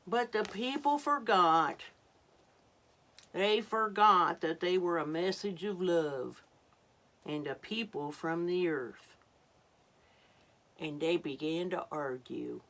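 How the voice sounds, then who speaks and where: Female children's storyteller. Lowish voice, very slow pace, dramatic reading with murmured asides to young listeners. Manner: dramatic, unhurried, drops to hushed murmurs in asides.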